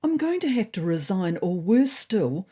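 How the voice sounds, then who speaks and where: A woman's voice: mid-range voice, very animated, normal speed, mellow, slightly rough, voice pushed up. A woman voicing a melodramatic, self-pitying female cat politician in a comedy audio story. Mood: grim, resigned. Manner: melodramatic, grim.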